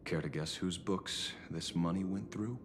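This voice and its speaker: A man's voice: low-pitched, a little slow, noisy background. An actor playing a wry, dogged male detective in a video game, sardonic and defiant, with theatrical, pointed phrasing. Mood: probing, knowing. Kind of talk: scripted video game voice acting. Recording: long reverb, music behind. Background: music.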